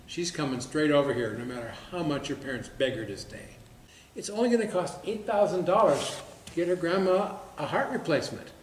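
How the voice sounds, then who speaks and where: A man's voice: fairly high pitch, lightly acted. A man playing a gullible, lovestruck, stubborn bar regular in a stage comedy, talking in a folksy, earnest, defensive way. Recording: echoey room with background noise.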